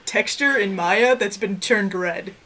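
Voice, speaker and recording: A woman's voice: medium pitch, a little slow, fairly bright tone. Wry woman bantering as co-host. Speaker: anime review show co-host. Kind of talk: casual banter and commentary.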